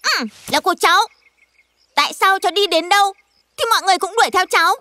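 A woman's voice: very high-pitched, quick pace, pushed and bright, no echo. A woman dubbing an aggrieved little animal child in a children's cartoon, with a whining, protesting delivery. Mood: indignant.

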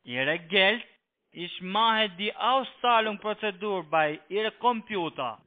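High-voiced foreign-accented man on a phone line. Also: lively intonation. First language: not German. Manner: halting, repetitive, broken phrasing. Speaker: phone scam call-centre agent. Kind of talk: instructing on a phone call. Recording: tinny, band-limited phone line.

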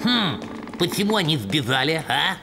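An actor voicing a small, anxious, excitable mouse sidekick in a dubbed cartoon, full of little exclamations. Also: mid-range voice, moderate pace. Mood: curious. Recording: loud music under the voice.

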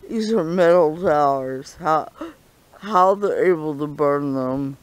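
Slow, insistent man outdoors. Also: a little high, clear, resonant tone, dry recording. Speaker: vlogger. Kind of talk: walking vlog monologue.

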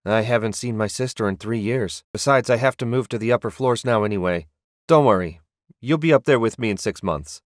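A man's voice: mid-range voice, fairly quick, no echo, clean studio sound, lightly acted. A man voicing an earnest, modest young male sorcerer with a plain, polite manner. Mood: easygoing.

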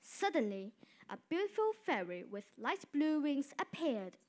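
Lively young narrator, girl. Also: sits high, measured pace. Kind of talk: storybook narration in a school radio drama.

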